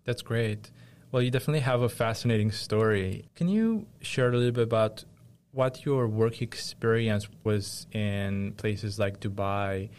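A man's voice: fairly low pitch, normal speed, a little mellow, a little hiss or hum. A man hosting an interview show, asking long, polite questions and giving short affirmations. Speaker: host.

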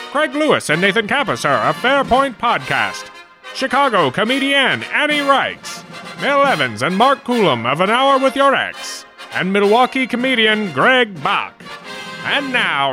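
An actor playing a pompous, dramatic announcer of a 1940s-style radio serial, with bombastic, emphatic delivery. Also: male voice, high-pitched. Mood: grand, rousing. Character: grandiose bombastic male announcer of a retro serial. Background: music.

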